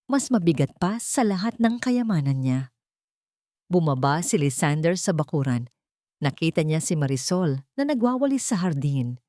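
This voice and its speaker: Low-pitched Filipino woman narrating a drama. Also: a little mellow, dry recording, studio quality. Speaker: audiobook narrator. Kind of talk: dramatic scripted storytelling.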